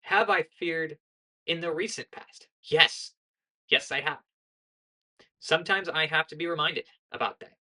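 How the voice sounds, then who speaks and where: A young American woman giving casual political commentary in a monotone voice, earnest and lecturing, often correcting herself mid-sentence. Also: deep voice, a little slow, long pauses. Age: young adult.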